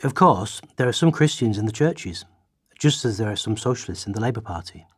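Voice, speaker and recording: A man playing an earnest radical orator and writer from history in a radio play, with deliberate, declamatory delivery. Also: on the low side, expressive, slightly rough, no room sound, clean studio sound.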